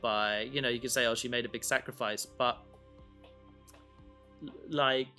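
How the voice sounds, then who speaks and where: A man chatting casually as a podcast co-host, halting and often interrupting himself as he rambles. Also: mid-range voice, fairly even intonation, pushed and bright, soft background music. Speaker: podcast co-host and audio drama creator.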